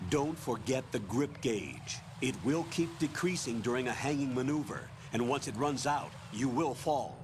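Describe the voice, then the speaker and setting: A man playing a calm military support officer in a video game, giving measured tactical instructions. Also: medium pitch, noisy background, lightly acted. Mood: calm, instructive. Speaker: mission support adviser over radio. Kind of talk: scripted video game voice acting.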